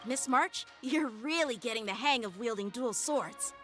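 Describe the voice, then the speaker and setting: An actress playing a confident teenage boy swordsman in a video game, polite yet cocky, crisp and needling. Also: fairly high pitch, moderate pace, a bit bright, lightly acted. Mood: encouraging. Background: game music.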